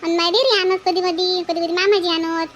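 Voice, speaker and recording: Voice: extremely high pitch, fairly even intonation, brisk, fairly bright tone, dull audio, slight background noise. A performer voicing a chatty, rambling village housewife in a cartoon, with a rural Vidarbha Marathi accent. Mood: mildly impatient. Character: chatty village housewife gossiping about mangoes and menfolk. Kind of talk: cartoon family chat.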